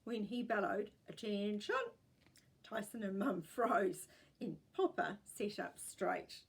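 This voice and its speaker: A woman with a New Zealand accent reading a children's story aloud in an expressive storytelling style. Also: medium pitch, takes it slowly, creaky, husky.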